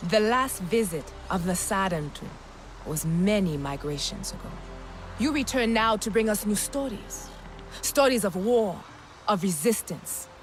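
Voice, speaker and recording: A woman's voice: medium pitch, animated, unhurried, music in the background, lightly acted. Woman as a proud, formal alien clan leader. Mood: ceremonial. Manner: formal, oratorical. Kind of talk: dramatic video game acting.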